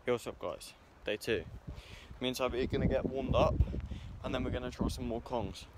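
A man's voice: mid-range voice, fairly quick, heavy background noise. A teenage boy, chatting casually outdoors as a parkour vlogger. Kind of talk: casual chat. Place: outdoors in a playground. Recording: loud music over outdoor camera audio.

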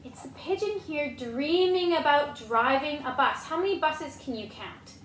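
A woman's voice: high voice, expressive, normal speed, a bit bright. A woman in her twenties reading a picture book to children, encouraging them and asking questions with exaggerated expression. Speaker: storyteller. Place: living room, indoors. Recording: roomy home recording, some noise.

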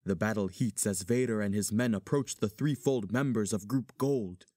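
A man's voice: on the low side, normal speed, mellow, dry recording, clean studio sound. A man giving scripted dramatic narration in old-fashioned verse as the chorus of a play.

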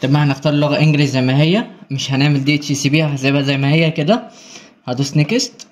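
A man's voice: a little high, racing, echoey room. A man with an Egyptian Arabic accent giving casual, hands-on tutorial commentary as an installer, recorded on site indoors. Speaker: installer presenting an installation video. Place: indoors in a marble-walled room. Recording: noisy handheld on-site recording.

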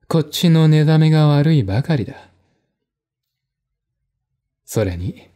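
Slow, mellow male mystery narrator. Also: medium pitch. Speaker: audiobook narrator. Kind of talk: dramatic literary reading of a mystery novel.

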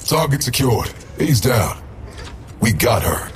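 An actor playing a stern military combat robot in a game, giving clipped commands over radio with a robotic filter. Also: male voice, medium pitch, very animated, slow pace. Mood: stern, commanding. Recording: noisy military radio channel.

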